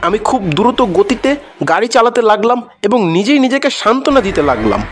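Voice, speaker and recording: A man's voice: high voice, very animated, a little fast. A man narrating a scripted first-person horror story in a dramatic, suspenseful storytelling manner. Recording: background music under the voice.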